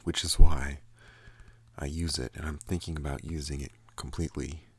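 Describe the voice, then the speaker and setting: A young man hosting an ASMR channel, speaking softly and calmly close to a studio microphone, slipping into whispers. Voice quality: deep gravelly soft voice. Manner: slow, calm, partly whispered. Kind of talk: soft-spoken ASMR talk.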